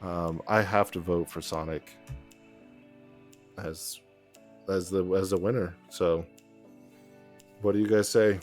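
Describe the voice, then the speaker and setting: A man hosting a casual podcast chat in a relaxed way. He chuckles often and reads figures off his notes. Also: sits low, takes it slowly, long pauses, soft background music. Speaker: podcast host.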